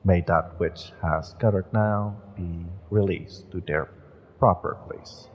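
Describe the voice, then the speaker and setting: A man playing a kind, wistful witch and tarot shopkeeper in a roleplay audio, speaking to the listener in a gentle, encouraging, unhurried way. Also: sits low, fairly even intonation, slight background noise. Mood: solemn, calming.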